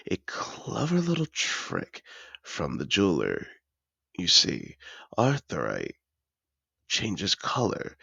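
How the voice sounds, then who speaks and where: A suave, possessive dragon in human disguise, played by a man, speaks in a deep, heavily gravelled voice. He is courtly and seductive, lingering slowly over his words like a collector over treasure in a fantasy audio roleplay. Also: sharp tone, dry recording, full character voice. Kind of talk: romantic fantasy audio roleplay, addressed to the listener.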